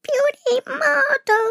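A performer voicing a squeaky, babbling little creature companion in a comedy podcast, slow and repetitive. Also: fairly bright tone, no echo, studio quality, full character voice. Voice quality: squeaky, creaky.

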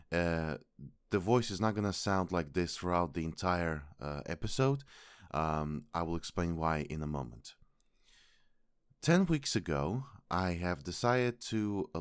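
Halting deep-voiced man with a sore throat. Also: smooth, dry recording, clean studio sound. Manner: formal and polite, halting with frequent fillers. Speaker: podcast host. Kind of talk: podcast intro monologue.

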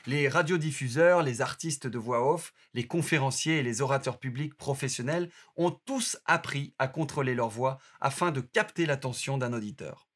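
A man reading an audio course aloud in a steady, instructive way. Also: mid-range voice, normal speed, few pauses. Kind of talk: scripted narration.

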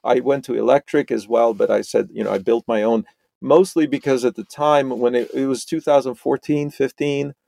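Gravelly, halting middle-aged man. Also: medium pitch, fairly even intonation, mellow, dry recording. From Romania. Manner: halting, many restarts and repeated words. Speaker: podcast guest. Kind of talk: conversational interview.

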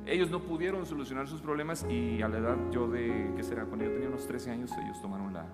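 A man in his forties, a guest from Chiapas, Mexico, telling his life story in a relaxed, joking way with many restarts and laughs. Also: mid-range voice, fast talker. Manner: chatty, jokes and laughs, restarts often. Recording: roomy reverb with loud music behind.

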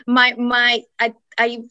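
A woman from Cuba, a guest in an interview, speaking softly and hesitantly about personal matters, with many fillers and restarts. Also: high-pitched, no echo. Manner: emotional. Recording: dull, slightly lo-fi sound.

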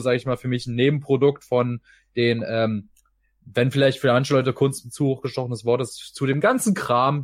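Male podcast host, casual and wordy, mid-pitched voice, dry recording. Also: dry recording. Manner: rambling, wordy. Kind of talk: casual talk.

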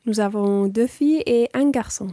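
A woman's voice: on the high side, normal speed, mellow, no room sound, studio quality, close to an everyday voice. A woman playing a friendly working mother chatting over dinner in a scripted language-course radio play. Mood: conversational.

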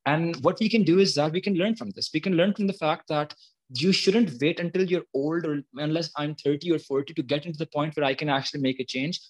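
Young man, early twenties, fairly high voice, earnest explanatory interview talk, repeats phrases for emphasis. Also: brisk, rough recording. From Pakistan. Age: early 20s. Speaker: climate activist, interview guest.

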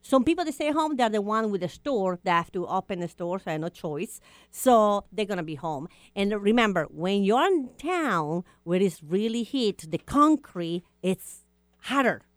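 Italian-accented woman, animated co-host in halting English, dry close sound. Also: mid-range voice, no room sound. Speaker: co-host reading news. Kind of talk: casual radio chat.

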